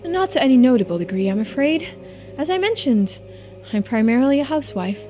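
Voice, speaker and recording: A woman's voice: high-pitched, big pitch swings, a little fast, mellow, soft background music, pitched above the performer's own voice. A woman voicing a composed, polite housewife investigator in a video game, speaking formally and matter-of-factly. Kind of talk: video game dialogue read-through.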